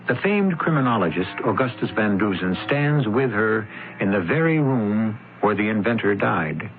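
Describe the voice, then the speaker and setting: A man's voice: lowish voice, sing-song, normal speed. A man hosting and narrating a scripted radio mystery with dramatic storytelling delivery. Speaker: host and narrator. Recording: tinny old radio, loud music. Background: loud music.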